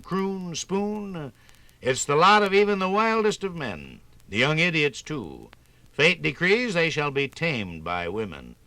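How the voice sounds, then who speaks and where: A man narrating a scripted 1950s radio program in a theatrical, folksy storytelling manner with drawn-out emphasis. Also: fairly high pitch, bright, forceful tone.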